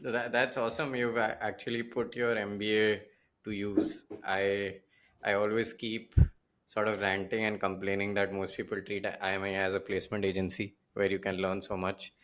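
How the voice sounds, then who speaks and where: Male podcast host, low flat voice, chatty, repeats words when jumping in. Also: moderate pace, a little hiss or hum. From India. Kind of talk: casual interview chat.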